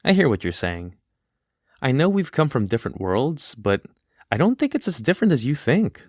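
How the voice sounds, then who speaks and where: A man's voice: medium pitch, very animated, moderate pace, darkish tone, no echo, studio quality. A man playing a polite, principled, well-off teenage boy in an audio drama, earnest and courteous. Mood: sincere, surprised.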